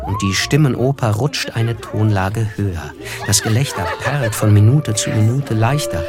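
An actor narrating a German literary radio play as an observant, reflective writer, measured and wry, with music behind him. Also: male voice, mid-range voice, lightly acted.

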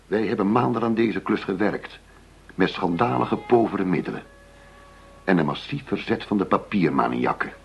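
Deep, gruff, combative police chief. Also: male voice, expressive, moderate pace, full character voice. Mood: angry, demanding. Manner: brusque, combative, sarcastic. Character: blustering, fed-up male police chief, blunt and demanding. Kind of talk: Dutch radio play acting. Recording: dull, muffled radio drama recording.

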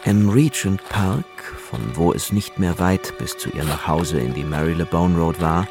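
An actor as the narrator of a radio drama, reading a measured scripted narration with music under him. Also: male voice, sits low, expressive. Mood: measured, suspenseful.

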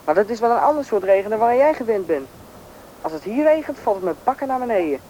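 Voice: medium pitch, animated, quick pace, warm tone, natural voice. A performer playing a bossy, knowing country boy, confident and impatient, in a radio drama. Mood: urgent. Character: bossy, knowing boy who grew up in the taiga. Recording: noisy old radio play recording.

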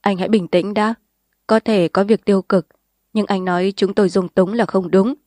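Woman doing a calm middle-aged male official, measured, even-toned, dry close storybook reading. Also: mid-range voice, no room sound, close to an everyday voice.

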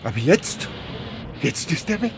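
An actor playing a dutiful, bewildered train conductor speaking haltingly in a German radio drama. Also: male voice, a little high, big pitch swings, gravelly, a little hiss or hum, full character voice. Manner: halting, astonished.

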